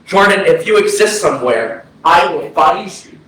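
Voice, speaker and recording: A man's voice: high-pitched, animated, normal speed, fairly bright tone. A man acting a grieving, guilt-ridden brother, speaking earnest, poetic lines in a staged play reading. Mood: pleading, defiant. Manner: earnest, declamatory. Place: small arts-centre stage, distant mic. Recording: very echoey stage, distant mic, noisy.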